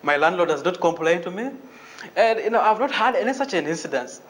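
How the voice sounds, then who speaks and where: A young man from Uganda speaks in a measured, careful way and often pauses on "you know" while he answers questions. His voice sits a little higher than most men's. He talks as a student leader in a panel interview. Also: animated, a bit bright. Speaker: student association president.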